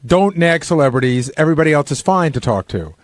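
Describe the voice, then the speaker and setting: A man's voice: fairly high pitch, expressive, fast talker, dry recording. A man in a casual on-air discussion, opinionated and often doubling back on his words as he makes his point. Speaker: radio show producer.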